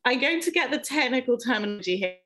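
Chatty young woman, halting and thoughtful. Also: on the high side, expressive, a bit bright, faint music behind. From England. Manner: thoughtful, many ums and self-corrections. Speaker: podcast guest. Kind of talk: casual interview conversation.

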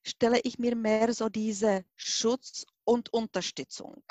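A middle-aged woman from the Czech Republic speaks with a Czech accent in a fairly high voice. She tells her own story in an interview, halting to find words and then stressing them. The sound is dry, with hardly any room on it. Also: steady tone, a little mellow, dry recording. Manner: halting, searching for words, emphatic. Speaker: interview guest, hypnosis and regression therapist. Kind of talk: spontaneous personal account in an interview.

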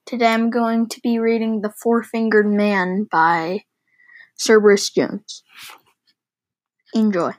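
A woman's voice: mid-range voice, little pitch movement, slow, long pauses. A woman reading a children's novel aloud, stumbling now and then and rereading phrases. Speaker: reader and host of a read-aloud show.

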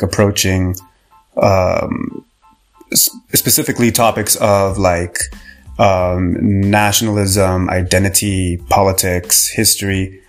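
A young man from Canada, a podcast guest, talking casually with lots of fillers and restarts. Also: deep voice. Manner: rambling, many fillers and restarts. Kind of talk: casual interview talk.

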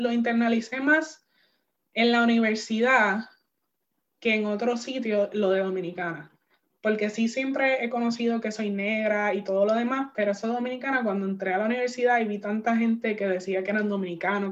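Young woman guest, candid, muffled audio. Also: on the high side. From United States. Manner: candid, mixes English words into Spanish, many fillers. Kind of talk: personal storytelling in an interview.

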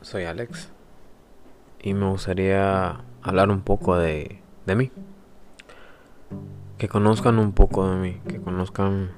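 A man's voice: deep voice, little pitch movement, a little mellow. A man, introducing himself as a podcast host in a reflective, hesitant way. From El Salvador. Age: 20s. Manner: reflective, hesitant, with pauses and restarts. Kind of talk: personal introduction monologue. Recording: faint guitar music behind.

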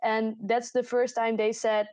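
A woman's voice: a little high, little pitch movement, measured pace, darkish tone, no room sound. A woman in her twenties from the Netherlands with a Dutch accent, co-hosting a casual podcast chat and laughing often. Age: 20s. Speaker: podcast co-host. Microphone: voice chat recording.

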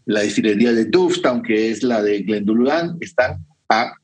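A man's voice: fairly low pitch, lively intonation, moderate pace, slightly rough. A man with a Costa Rican accent chatting and telling travel stories, often adding little tag questions to check the listener follows. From Costa Rica. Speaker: guest sharing whisky travel advice. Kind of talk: conversational travel storytelling.

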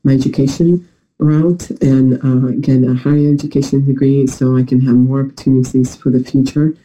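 A man's voice: medium pitch, flat delivery, moderate pace, warm tone, low-quality recording. A young man with a New York-like accent and a congested, nasal voice, hesitantly telling personal stories as an interview guest. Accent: New York-like American. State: congested from a recent illness.

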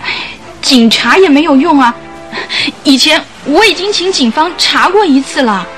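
A tormented, secretive wife in a radio play. Her voice is very high and plaintive, heavy with anxious sighs, with music in the recording. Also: lively intonation, moderate pace, a bit bright. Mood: resigned. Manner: plaintive, sighing. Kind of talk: radio drama acting.

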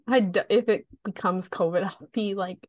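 A woman's voice: medium pitch, darkish tone, no room sound. A woman co-hosting a podcast in casual, informal chat, a bit sniffly. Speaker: podcast co-host.

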